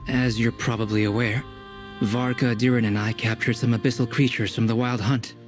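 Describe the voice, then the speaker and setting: An actor playing a scholarly, analytical young researcher in a video game, speaking in a measured, precise and formal way. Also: male voice, mid-range voice, little pitch movement, close to an everyday voice. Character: scholarly young male researcher, composed, analytical, prone to lecturing. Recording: music playing behind the voice.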